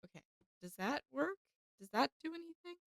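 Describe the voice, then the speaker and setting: A woman's voice: high-pitched, sing-song, normal speed, gravelly, fairly bright tone, no echo, clean studio sound. A woman streaming from her home setup on a desk mic, chatting casually with her viewers and thanking subscribers, a little stuffy from a cold. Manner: chatty, upbeat, talks to chat and thanks subscribers. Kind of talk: casual live-stream chat. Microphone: desk microphone with headphones.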